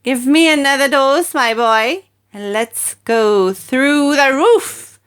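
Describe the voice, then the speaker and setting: A woman voicing a screechy, wild, gleeful ancient grandmother, shouting high above her own voice. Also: expressive, takes it slowly, full character voice. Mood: gleeful shrieking.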